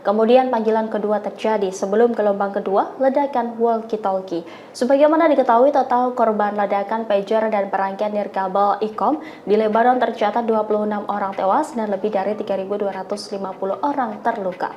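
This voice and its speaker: A woman's voice: mid-range voice, steady tone, brisk, a little mellow, lo-fi sound. Woman reading news, faint noise. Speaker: news narrator. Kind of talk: scripted news narration.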